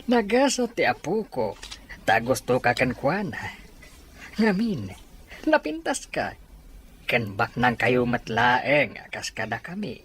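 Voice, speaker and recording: A man playing an elderly, insistent matchmaking grandfather in a radio drama, with a persuasive, expressive delivery. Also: medium pitch, slow pace, slight background noise, heavily acted. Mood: teasing, approving.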